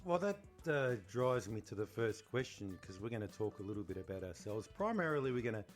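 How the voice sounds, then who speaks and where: A man's voice: lowish voice, sing-song, a little fast, soft background music. A man co-hosting a podcast, joking and self-deprecating while he asks his co-host questions. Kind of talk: casual interviewing chat.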